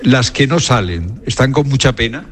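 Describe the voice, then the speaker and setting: Halting male interviewer. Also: mid-range voice, animated, a bit bright. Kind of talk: conversational interview questions. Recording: faint background noise.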